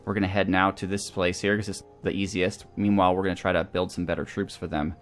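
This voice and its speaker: A man's voice: sits low, level tone, quick pace. A man hosting a video game playthrough, talking casually and thinking aloud as he weighs his options. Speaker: host of a gaming series. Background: background music.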